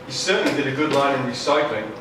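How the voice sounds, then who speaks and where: A man's voice: mid-range voice, fast talker. A man telling a wry story as narrator of a live children's puppet show. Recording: noisy echoey hall, far from mic.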